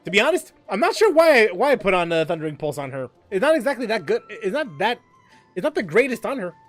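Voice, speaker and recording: A man with a high-pitched voice talks casually as he streams a game. His delivery is halting, with phrases often repeated or started over. Music plays behind him. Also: very animated. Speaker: livestream host, gamer. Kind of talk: casual livestream chat while gaming.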